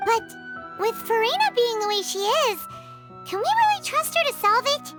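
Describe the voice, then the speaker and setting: A woman's voice: very high-pitched, big pitch swings, moderate pace, loud music behind the voice. A woman voicing a tiny, curious, naive fairy companion in a video game, with a childlike, wide-eyed way of talking. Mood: wondering. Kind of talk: scripted game dialogue.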